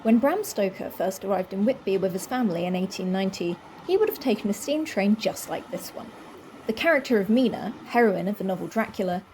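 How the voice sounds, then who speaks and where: A woman's voice: medium pitch, warm tone, slight background noise. A woman reading a scripted documentary voice-over as narrator.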